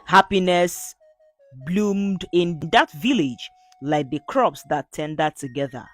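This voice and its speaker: A woman narrating a scripted folktale in an earnest, measured storybook manner. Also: lowish voice, animated, faint music behind.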